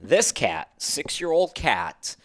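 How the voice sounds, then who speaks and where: A man's voice: fairly high pitch, very animated, slow, a bit bright. A man hosting a podcast, chatting casually and wandering from one thought to the next. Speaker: podcast host.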